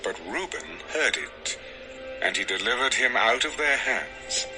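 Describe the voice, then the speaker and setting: A man's voice: medium pitch, animated, a little slow, poor audio. A man narrating a dramatized Bible story as a solemn, scripted storyteller. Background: music.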